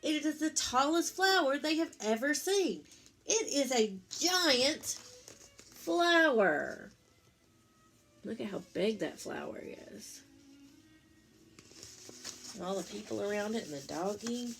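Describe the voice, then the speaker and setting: A woman's voice: on the high side, expressive, slow, pauses often, fairly bright tone, faint music behind. A woman, a teacher reading a picture book aloud to young children, with simple explanatory asides and questions for her listeners.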